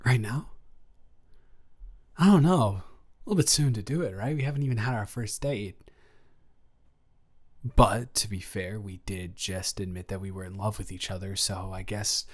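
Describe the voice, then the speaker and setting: A man playing a flustered young best friend in love, halting and self-deprecating, in an improvised romantic audio roleplay. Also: lowish voice, lightly acted. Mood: surprised, shy.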